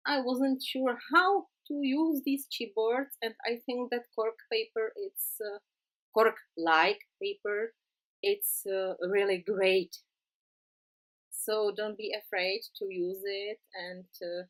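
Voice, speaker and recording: Slow Czech-accented woman crafter. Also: mid-range voice, fairly even intonation, rough recording. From Czech Republic. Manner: halting, many "uh" pauses, simple non-native phrasing. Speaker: crafting tutorial host. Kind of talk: card-making voice-over tutorial.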